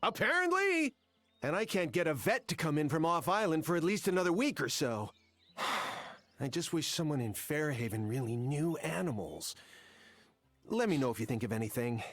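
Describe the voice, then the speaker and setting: A man's voice: fairly high pitch, sing-song, moderate pace, fairly bright tone, no room sound, faint music behind. An actor voicing a worried, sheepish male cattle farmer in video game dialogue. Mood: exasperated.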